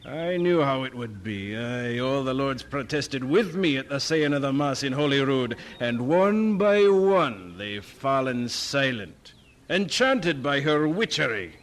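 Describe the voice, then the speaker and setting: An actor playing a stern, proud Scottish preacher in a radio drama, speaking with a Scottish accent. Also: male voice, medium pitch, expressive, measured pace, lightly acted. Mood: accusing.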